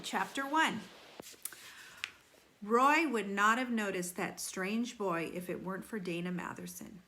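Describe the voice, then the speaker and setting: Female storyteller for a kids' read-aloud: bright, mid-range voice, expressive and teacherly, leaning on key phrases. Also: mid-range voice. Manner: animated storytelling with deliberate emphasis and dramatic slowing. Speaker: teacher reading aloud to children.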